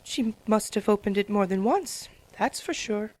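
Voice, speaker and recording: A woman voicing a regal, solemn pony princess in a fan dramatic reading, speaking in a measured, formal way. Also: mid-range voice, animated, a little mellow, natural voice. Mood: uneasy. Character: regal, solemn female sun princess ruler.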